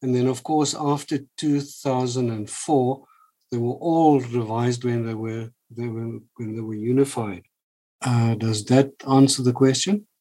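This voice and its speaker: Man, mellow warm voice, explanatory. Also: medium pitch, steady tone, measured pace, low-quality recording. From South Africa. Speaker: panelist, braille expert.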